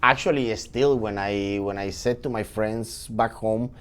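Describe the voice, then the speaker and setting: A man in his forties from Venezuela with a Venezuelan Spanish accent, a chef telling his story as a podcast guest in a lively, energetic way. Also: a little slow. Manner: animated, energetic storytelling with swings in pitch. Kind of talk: casual podcast interview.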